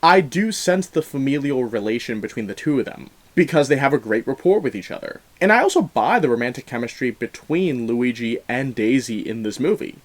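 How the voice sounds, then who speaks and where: A man's voice: mid-range voice, animated, fairly quick, hardly any pauses. A man giving a casual, opinionated film review, leaning emphatically on key words in an earnest way. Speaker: film review show host.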